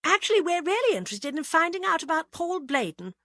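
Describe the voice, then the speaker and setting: Woman reading as a bossy jealous lady detective in an audiobook, snappy, lively bright voice. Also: extremely high pitch, a little fast, dry recording, clean studio sound, pitched above the performer's own voice, exaggerated voice. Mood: pressing. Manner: snappy, assertive. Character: brisk, bossy, jealous amateur sleuth woman. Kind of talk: audiobook character acting.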